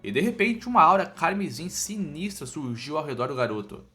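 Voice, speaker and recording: A man's voice: fairly high pitch, animated, fairly quick, sharp tone. A Brazilian man narrating a scripted story recap informally, in colloquial, slangy language with asides to the listener. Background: background music.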